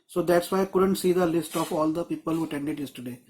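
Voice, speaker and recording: A man's voice: a little high, fairly even intonation, fast talker, a little mellow. A man teaching a screenwriting class on a video call, explaining in a loose, rambling way with many restarts. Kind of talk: live online class.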